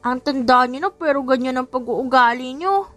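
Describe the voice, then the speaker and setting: A woman's voice: sits high, moderate pace, a bit bright. A woman voicing a bold, righteous little girl who scolds politely but defiantly in an audiobook story. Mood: indignant scolding.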